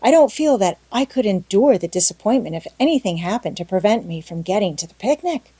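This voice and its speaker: A woman acts out a young orphan girl in an audiobook. She is talkative and dreamy, gushing with earnest drama. The tone stays soft and mellow, recorded very dry. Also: mid-range voice, lively intonation, brisk, slightly rough, no room sound. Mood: excited, dreamy. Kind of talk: audiobook character acting.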